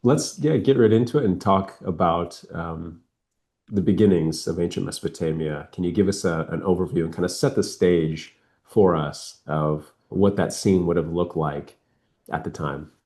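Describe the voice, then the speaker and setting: A man's voice: low-pitched, moderate pace, dark tone. A man hosting an interview over a video call, asking friendly, brief questions. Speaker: interview host.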